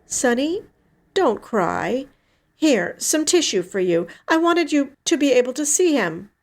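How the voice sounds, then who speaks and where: A woman's voice: high-pitched, big pitch swings, measured pace, pitched above the performer's own voice, heavily acted. A woman voicing a grieving, protective older sister in an audiobook story, emotional and emphatic in her delivery. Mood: tender, upset. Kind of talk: dramatic audiobook character dialogue.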